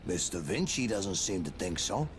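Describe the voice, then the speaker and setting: Brief: a mocking game mobster holding someone at gunpoint, music faint behind. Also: male voice, low-pitched, sing-song, normal speed. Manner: mocking, threatening. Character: cocky male mob gunman, sneering.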